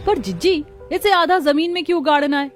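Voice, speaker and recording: A woman's voice: sits high, a little fast, faint music behind, natural voice. A woman voicing a curious, doubting younger daughter-in-law in a village cartoon, with a questioning, fretful manner. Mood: curious, doubtful. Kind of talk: scripted cartoon dialogue.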